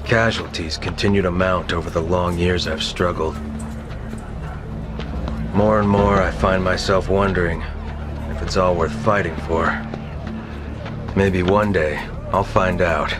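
A man's voice: sits low, fairly even intonation, measured pace, pauses often. An American man playing a weary, resolute special agent in a video game, terse and grave. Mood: brooding. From United States. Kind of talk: cinematic game acting and narration. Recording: loud music bed behind.

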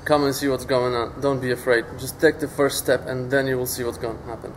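A man's voice: mid-range voice, steady tone, fairly quick, slight background noise. A young man from Poland, a volunteer, answering interview questions in an easygoing, encouraging way outdoors. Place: outdoors by a brick wall.

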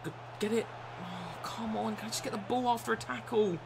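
A young man in his twenties with a croaky voice, chatting and swearing through a live football game stream from a small room. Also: high voice, fairly quick, crowd noise. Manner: swears often, shouts and laughs at the game. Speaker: gaming streamer. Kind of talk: live gameplay chat.

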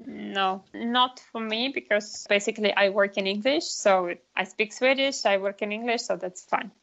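Ukrainian-accented woman with a creaky voice. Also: medium pitch, normal speed, a bit bright, highs cut off. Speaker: interview guest. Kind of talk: conversational interview answers.

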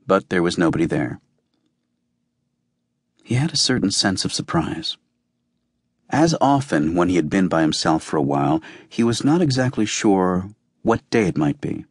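A man reading a novel as an audiobook narrator, with measured, deliberate phrasing. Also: deep voice, no echo, clean studio sound. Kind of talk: scripted literary narration.

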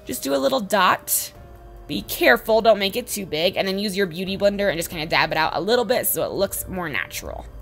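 Woman in her twenties, slightly hoarse, gravelly fry, chatty tutorial narration, music behind. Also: medium pitch, expressive, fairly quick, a bit bright. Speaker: beauty vlogger, host. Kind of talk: makeup tutorial voice-over. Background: background music.

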